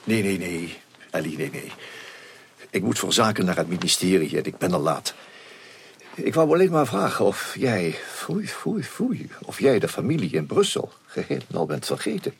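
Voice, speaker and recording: A kindly, fussy older gentleman uncle in a radio play. His voice is low and gravelly, swooping up and down as he briskly coaxes. There is faint noise behind him. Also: big pitch swings, heavily acted. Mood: brisk, coaxing. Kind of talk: Dutch radio play acting.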